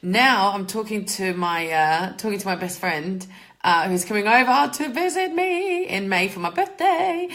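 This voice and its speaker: A woman's voice: mid-range voice, lively intonation, moderate pace, a bit bright. A German-born woman, a native German speaker with a mixed English and Australian accent, chatting informally and a little sweary as she hosts a casual livestream and greets viewers. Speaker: host, mindset coach.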